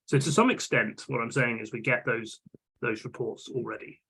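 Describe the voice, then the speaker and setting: A man's voice: mid-range voice, normal speed, a bit bright, breathy edge, low-quality recording. A man talking casually in an online technical meeting, hesitant, with many restarts and fillers. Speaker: vulnerability management working group lead. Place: online video call.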